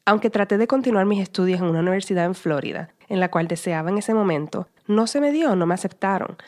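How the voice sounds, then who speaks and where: A woman in her thirties with a Puerto Rican accent, hosting her own podcast and reading a calm, measured, scripted introduction. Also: mid-range voice. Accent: Puerto Rican Spanish. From Puerto Rico. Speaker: podcast host, psychologist. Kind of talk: scripted solo podcast monologue.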